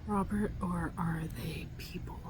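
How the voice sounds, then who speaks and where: A woman whispering breathily in a soft, soothing, halting way as she improvises an ASMR roleplay as a gentle scientist. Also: fairly low pitch, fairly even intonation, noisy background. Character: gentle scientist tending a robot. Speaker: ASMR performer.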